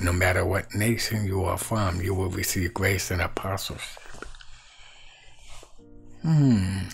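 A middle-aged man teaching Bible verses to camera from a small room at home, slow and deliberate, repeating key phrases. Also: sits low, creaky, a bit bright. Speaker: street evangelist.

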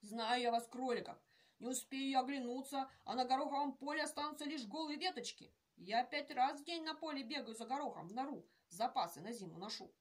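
A woman voicing a small, greedy male hamster in a storybook reading, shouting and scolding indignantly. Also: high-pitched, a little fast, close to an everyday voice. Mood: grumbling.

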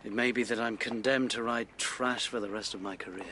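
An actor playing a weary, bitter English novelist in a period radio drama, speaking formally and with irony. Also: male voice, lowish voice, fairly even intonation, a little fast, pushed and bright, a little hiss or hum. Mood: despairing. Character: struggling, weary, bitter male novelist and husband.

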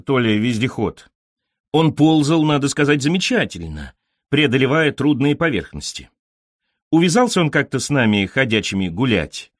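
A man reading a first-person literary story as an audiobook narrator, in a measured, expressive storytelling manner on a close studio microphone. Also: medium pitch, dry recording.

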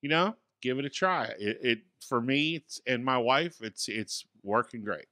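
A man in his forties co-hosting a casual tech podcast, telling a relaxed, self-deprecating story from a small studio with a boom mic. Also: normal speed, no room sound. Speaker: podcast co-host. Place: small home studio with acoustic panels. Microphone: studio mic on boom arm.

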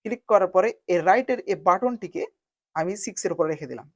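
A woman's voice: fairly low pitch, expressive, fast, no echo. Woman narrating a tutorial, dry close sound. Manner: instructional, repetitive step-by-step phrasing. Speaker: video editing tutorial host. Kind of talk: step-by-step software tutorial narration.

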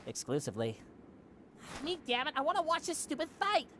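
A man's voice: extremely high pitch, wildly swooping pitch, brisk, pushed and bright, a little hiss or hum, exaggerated voice. A man voicing a sarcastic, irritable young alien guardian in a cartoon parody dub. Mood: exasperated, sarcastic.

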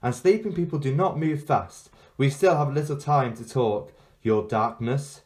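A man's voice: mid-range voice, measured pace, darkish tone, voice pushed up, lightly acted. Man voicing a cool, defiant storybook queen. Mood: calm. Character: resolute young fairy-tale queen, cool, defiant, unafraid.

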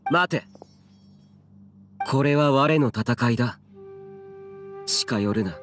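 A man's voice: medium pitch, slow, a bit bright. A man playing a stern, proud ancient warrior in an anime game, solemn and commanding, with music behind. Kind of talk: dramatic anime game voice acting.

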